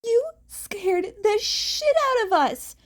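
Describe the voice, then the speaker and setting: A woman voicing a brash, fierce female rabbit hero in a story, loud and blunt. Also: extremely high pitch, lively intonation, takes it slowly, pitched above the performer's own voice, heavily acted. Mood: scolding, relieved.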